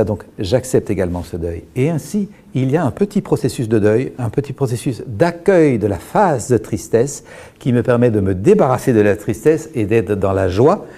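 A middle-aged man, speaking as a guest in a calm, measured, reflective way, pausing often and restarting his sentences. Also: fairly low pitch. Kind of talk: reflective explanatory talk.

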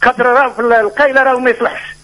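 A man in his forties with a Tunisian accent, calling in to a radio show, lively and insistent and quick to laugh. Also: extremely high pitch. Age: 40s. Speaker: radio caller. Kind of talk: phone-in chat. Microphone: telephone.

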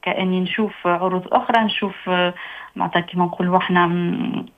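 Fast-talking Tunisian woman on a phone line. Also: on the low side, steady tone. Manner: hesitant, many fillers, mixes in French. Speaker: theatre artist guest. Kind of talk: casual interview.

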